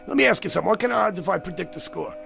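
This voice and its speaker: Wisecracking hustler guy, real gravelly, swings up and down, radio drama style. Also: high-pitched, lively intonation, rapid-fire, sharp tone, faint music behind, heavily acted. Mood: cocky, scheming. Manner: slangy, wisecracking, cajoling. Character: brash, fast-talking gambler, agitated man out of his time. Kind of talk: radio drama acting and first-person narration.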